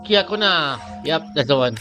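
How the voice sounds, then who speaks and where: A game streamer giving casual gameplay commentary in halting, muttered reactions. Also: medium pitch, expressive. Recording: game music clearly behind.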